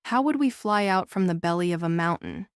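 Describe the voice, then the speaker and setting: A woman's voice: fairly low pitch, expressive, brisk, clean tone, no room sound, clean studio sound. A woman reading scripted first-person novel narration as an audiobook narrator.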